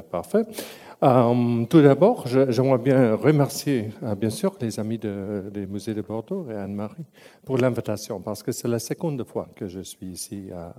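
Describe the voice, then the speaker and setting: A man's voice: fairly low pitch, warm tone. A man from English-speaking Canada with an English Canadian accent tinged by Italian, giving a public lecture as a museum director and often searching for words and restarting. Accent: English Canadian, with Italian influence.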